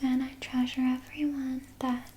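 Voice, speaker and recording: A woman voicing a sly, doting vampire nurse in a breathy, soothing whisper for an ASMR roleplay. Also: high-pitched, level tone, a little hiss or hum. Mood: smug, coy. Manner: slow, soothing, coaxing, softly articulated. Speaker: ASMR performer.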